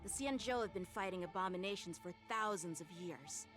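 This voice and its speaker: A woman's voice: medium pitch, a little fast, lightly acted. A woman voicing an earnest, formal young lieutenant in a video game, polite and plain-spoken. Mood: serious, wary. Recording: background music under the voice.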